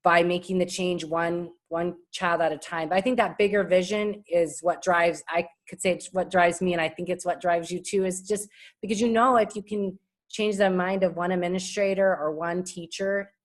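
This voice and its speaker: Lower-voiced earnest woman. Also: steady tone, moderate pace. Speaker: speech-language assistant and parent co-host. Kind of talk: casual webinar conversation.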